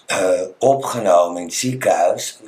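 A man talking casually and personally in a halting, plain-spoken way, in an echoey room. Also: deep voice, a little mellow.

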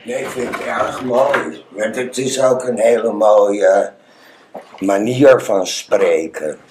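A man talking casually and personally in a halting, plain-spoken way, in an echoey room. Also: lowish voice, darkish tone, slight background noise.

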